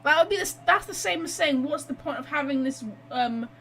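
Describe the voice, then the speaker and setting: Woman game streamer, high pitch, casual commentary, expressive, teasing, informal. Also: a little fast, vocal fry, a little hiss or hum. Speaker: streamer reacting to a game story.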